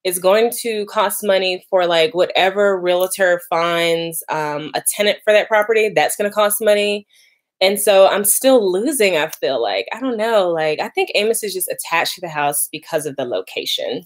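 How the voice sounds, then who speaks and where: A woman's voice: fairly low pitch, lively intonation, moderate pace. Chatty thirties woman with a lilt. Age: 30s. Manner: chatty, lots of "like" and "y'all", laughs easily. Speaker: vlogger doing a live stream. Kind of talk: casual live stream chat, reading comments.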